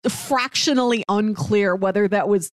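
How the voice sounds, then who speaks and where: A woman's voice: medium pitch, moderate pace, no echo. Woman chatting casually, close dry sound. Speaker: podcast co-host. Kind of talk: casual chat about a TV drama.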